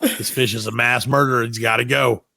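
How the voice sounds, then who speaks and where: Creaky-voiced man joking on a podcast. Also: mid-range voice, a little fast, no echo, clean studio sound. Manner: animated joking, acts out imagined dialogue, swears freely. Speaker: podcast co-host. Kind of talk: casual comedic chat and storytelling.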